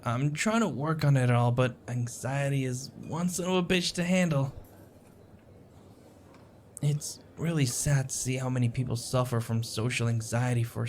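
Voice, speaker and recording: A man's voice: medium pitch, slight background noise, close to an everyday voice. A man acting a shy, anxious, sweet young stranger in an audio roleplay, rambling with hesitant stammers and self-deprecating apologies. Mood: nervous. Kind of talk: audio roleplay, intimate one-sided conversation. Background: coffee shop ambience.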